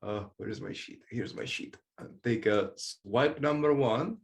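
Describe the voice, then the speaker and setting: Halting man playing a tabletop game. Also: fairly low pitch, vocal fry. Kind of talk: casual game-table talk, narrating moves.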